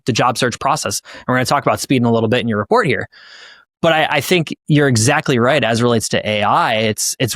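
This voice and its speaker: A man's voice: mid-range voice, a bit bright, dry recording, studio quality. A man hosting a podcast, giving conversational commentary and restating his points for emphasis. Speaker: podcast host.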